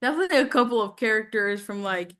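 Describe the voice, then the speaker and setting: A woman's voice: fairly high pitch, animated, fast, fairly bright tone. A woman student chatting casually and hesitantly, thinking aloud as she goes. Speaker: voice acting student. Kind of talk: casual chat.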